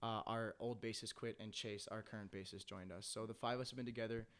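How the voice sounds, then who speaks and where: A young Canadian man talking quickly through his band's history, his words tumbling out with plenty of "uh" in between. His voice is clean and smooth, at a middle pitch. He sounds relaxed, the way you talk chatting with friends in a basement. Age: young adult. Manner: rambling storyteller, lots of "uh" fillers. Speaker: band member guest. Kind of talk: casual podcast chat. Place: home basement.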